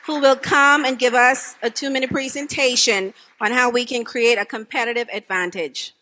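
A woman with a Bahamian accent, moderating a conference panel from a podium microphone on stage, with polite, measured introductions. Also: a little high, steady tone, bright, forceful tone, poor audio. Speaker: panel facilitator. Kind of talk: formal event moderating.

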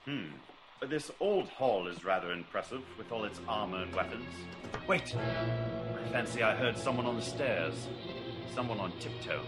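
An actor playing a keen, commanding detective, probing and theatrical, in a classic radio drama. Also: male voice, on the low side, expressive, moderate pace, loud music behind the voice, lightly acted. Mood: alert. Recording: noisy old radio recording.

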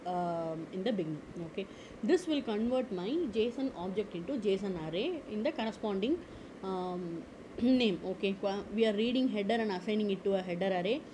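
Woman explaining software step by step. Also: mid-range voice, noisy background. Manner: explanatory, frequent "okay" and "uh" fillers. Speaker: tutorial instructor. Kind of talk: screen-recorded software tutorial. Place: at a computer.

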